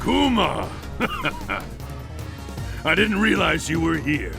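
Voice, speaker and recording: An actor playing a boastful, scheming old martial-arts tyrant in a video game, gruff and gravelly, with theatrical delivery. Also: male voice, fairly high pitch, huge pitch swings, very slow, exaggerated voice. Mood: gleeful greeting. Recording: loud music over the voice.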